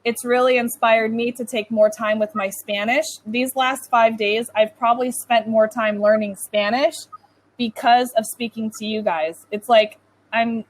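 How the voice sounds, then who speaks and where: A North American woman with a slightly high voice gives a one-to-one online English lesson. She talks informally and chattily, like someone in an easy conversation, peppering sentences with "like". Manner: chatty, informal, says "like" often. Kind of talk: casual one-to-one lesson chat. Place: online video call lesson.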